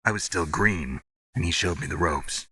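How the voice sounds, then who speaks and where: An actor playing a gruff, weary war veteran in a video game, stoic and blunt, with a raspy, clipped, deadpan delivery. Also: male voice, low voice, moderate pace, no echo, full character voice. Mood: reflective. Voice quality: very raspy, gravelly, husky. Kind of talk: dramatic video game voice acting.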